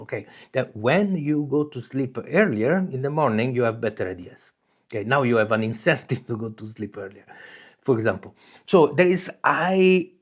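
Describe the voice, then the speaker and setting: A man's voice: mid-range voice, husky. A man from Italy with an Italian accent, hosting his own channel and talking through ideas in a loose monologue, restarting phrases and often checking in with "okay?". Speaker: channel host. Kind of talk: casual explanatory monologue.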